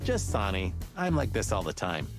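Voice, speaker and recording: An actor playing a talkative, sardonic rooster cop sidekick in a film-noir adventure game. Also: male voice, on the low side, very animated, moderate pace, over music. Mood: teasing.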